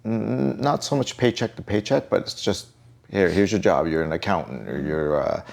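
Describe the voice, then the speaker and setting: A middle-aged man speaks in a deep register with a rough, gravelly edge. He tells his story as a podcast guest, easygoing and rambling. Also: moderate pace. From Vancouver, Canada. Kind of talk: casual interview chat.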